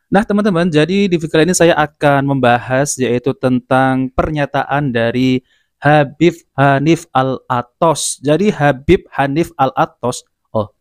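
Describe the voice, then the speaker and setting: A man's voice: medium pitch, dry recording, clean studio sound. A man hosting a religious commentary channel, talking in a conversational way and dropping frequent fillers. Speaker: host.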